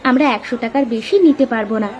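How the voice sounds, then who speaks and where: A woman's voice: a little high, rapid-fire, a little mellow, lo-fi sound, some chatter behind, natural voice. A woman voicing a caring, dutiful young village daughter in an earnest, simple way for a moral-story cartoon. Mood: earnest, wistful.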